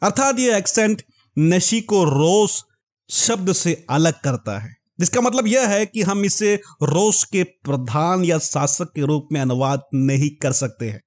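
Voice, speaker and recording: Earnest man explaining scripture slowly. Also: a little high, lively intonation. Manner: slow, deliberate spelling-out of words; earnest, addresses listeners as "my dear ones". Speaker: Bible teacher. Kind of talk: explanatory Bible lesson.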